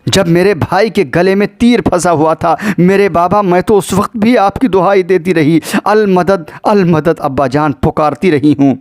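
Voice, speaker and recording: A man's voice: sits high, hardly any pauses, darkish tone, natural voice. A man voicing a grief-stricken sister in a religious lament, pleading and reproaching with anguished repetition. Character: grief-stricken pleading sister guarding her slain brother.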